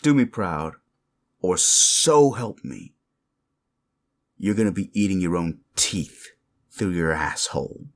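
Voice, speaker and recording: A man's voice: deep voice, expressive, slow, pauses often, full character voice. A man voicing a sleazy, bullying werewolf boss in an audio roleplay, crude, condescending and threatening. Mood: menacing, contemptuous. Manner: menacing, crude, taunting, condescending. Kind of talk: roleplay audio drama monologue.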